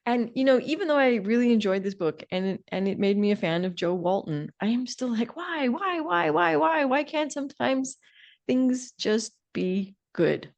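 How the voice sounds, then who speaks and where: A woman co-hosting a podcast, talking casually about books in an animated, emphatic way. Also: fairly high pitch, normal speed, gravelly, dull audio. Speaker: podcast co-host. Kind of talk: casual book discussion.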